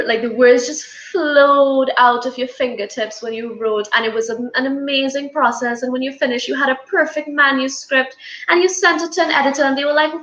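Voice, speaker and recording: A woman's voice: high voice, pushed and bright, roomy sound, highs cut off. A woman guest in a casual video-call interview, talking candidly and animatedly about her own past.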